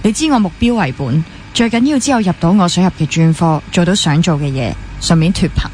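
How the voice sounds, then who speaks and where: A woman's voice: on the low side, lively intonation, a little fast, a little mellow, slight background noise, natural voice. A young woman with a Hong Kong Cantonese accent playing a weary, driven trainee doctor and teasing younger sister in a naturalistic radio drama. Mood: weary determined. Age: young adult.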